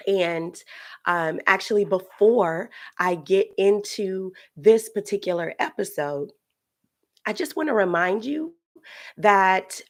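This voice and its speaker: A woman with a raspy voice from getting over a cold, giving a casual, chatty childbirth lesson with many fillers. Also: mid-range voice. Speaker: childbirth educator. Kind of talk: casual instructional podcast talk.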